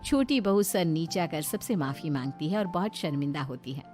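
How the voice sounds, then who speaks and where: A woman's voice: deep voice, animated, moderate pace, darkish tone, faint music behind. A woman reading scripted story narration.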